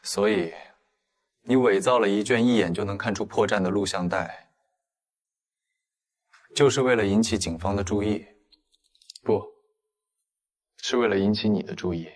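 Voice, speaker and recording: An actor playing cool, restrained, clever young men in a detective drama for an animated comic. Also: male voice, lowish voice, moderate pace, long pauses, close to an everyday voice. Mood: calm, probing.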